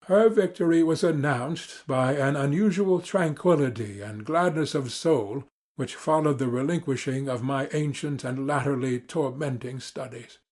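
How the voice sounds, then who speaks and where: A man reading classic literature as a dramatic audiobook narrator, formal, measured and earnest. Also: medium pitch, darkish tone.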